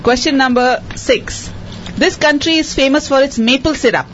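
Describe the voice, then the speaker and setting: A woman hosting a quiz show for children, repeating each question slowly and cheering the contestants on. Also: sits high, highs cut off, slight background noise. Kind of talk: hosting a radio quiz for kids.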